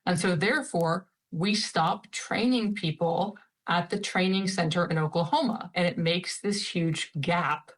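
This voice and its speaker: A woman's voice: fairly low pitch, a little slow, few pauses. A woman explaining things as an expert in a recorded interview.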